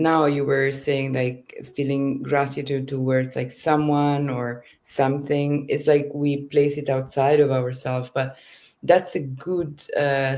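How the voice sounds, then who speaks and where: A woman neuroscientist answering questions in a casual interview, speaking haltingly as she searches for words. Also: extremely low pitch, darkish tone. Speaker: neuroscientist guest.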